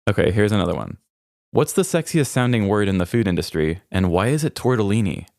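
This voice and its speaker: A man's voice: lowish voice, animated, fairly quick, vocal fry, darkish tone, dry recording, studio quality. A man co-hosting a podcast in casual comedic banter, telling things playfully. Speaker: podcast co-host.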